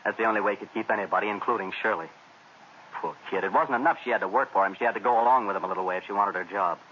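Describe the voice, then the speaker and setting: An actor playing an excitable young jazz band drummer who talks in eager, chatty jive slang, in 1940s radio acting. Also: male voice, on the low side, very fast. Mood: gossipy. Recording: old radio broadcast, dull with faint hiss.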